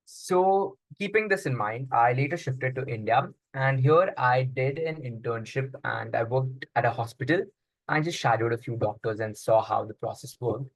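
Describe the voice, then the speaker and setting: A man's voice: medium pitch, normal speed, vocal fry, dry recording, poor audio. Young man explaining earnestly, dry sound. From Congo. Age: young adult. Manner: explanatory, with frequent fillers and restarts. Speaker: guest. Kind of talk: casual interview answers.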